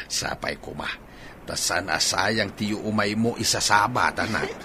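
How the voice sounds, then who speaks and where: A man's voice: lowish voice, unhurried, vocal fry, bright, forceful tone, a little hiss or hum, exaggerated voice. An actor playing a humble, affectionate middle-aged fisherman father in an Ilocano Filipino radio drama. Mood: affectionate, hopeful. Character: warm, humble fisherman father heading out to sea.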